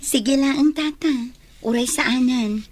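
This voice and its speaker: Woman playing high-voiced polite young Filipina. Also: takes it slowly. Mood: polite, hesitant. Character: polite young woman visiting a friend's house. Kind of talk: Filipino radio drama acting. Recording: loud music over the voice.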